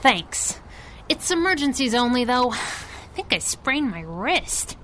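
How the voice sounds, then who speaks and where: An actress playing a tough, wisecracking young masked crimefighter in a 1930s-style radio adventure, with a cocky, sardonic delivery. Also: female voice, high-pitched, very animated, a little slow, sharp tone, slight background noise, exaggerated voice. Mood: wry, brisk.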